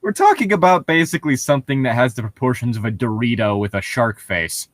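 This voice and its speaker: A man with an average-pitched voice in a dry recording with almost no room sound. He banters casually and reads in a mock dramatic way. His intonation jumps around in an excitable, irreverent, amused manner. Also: fast, no room sound. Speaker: co-host reading fan fiction aloud with commentary.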